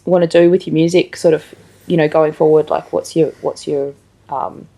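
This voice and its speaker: A woman's voice: sits low, fairly even intonation, normal speed, vocal fry, mellow. A woman hosting a casual interview, phrasing her thoughts in a tentative, rambling way. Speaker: interview show host, musician. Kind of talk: casual chat.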